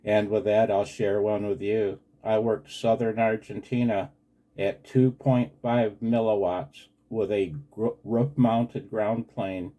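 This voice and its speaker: Low, mellow American man in his sixties. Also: poor audio. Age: 60s. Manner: earnest and deferential, often saying sir. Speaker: amateur radio operator. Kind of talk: casual two-way radio conversation.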